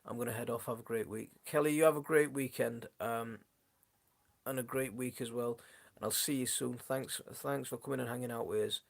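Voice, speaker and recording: Brief: male stream host, mid pitch with a gravelly fry, voice going hoarse, chatty and rambling with viewers. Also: steady tone, fairly quick. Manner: rambling, many restarts, chatty and friendly toward viewers. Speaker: gardening channel host. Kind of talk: casual live-stream chat answering viewers. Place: his home, indoors. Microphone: phone.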